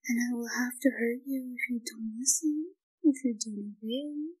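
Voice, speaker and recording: Woman acting high, breathy obsessive yandere girlfriend. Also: darkish tone, low-quality recording. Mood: soft menace. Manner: breathy, halting, giggly, clingy and menacing. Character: shy, obsessive young female yandere student kidnapper. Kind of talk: intimate audio roleplay acting.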